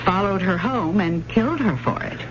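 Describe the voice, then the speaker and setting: An actress playing an inquisitive young college student in an old-time radio drama, stammering, tentative and polite. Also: female voice, mid-range voice, expressive, moderate pace, faint music behind, natural voice. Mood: nervous, probing. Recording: dull, faintly noisy old radio recording.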